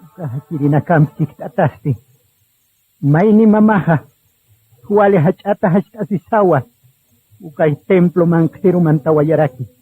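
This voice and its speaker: High-pitched man narrating, tinny radio sound. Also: a little slow, pauses often, slightly rough. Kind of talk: scripted radio drama narration, solemn storytelling. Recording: tinny narrow-band radio sound with music. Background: music.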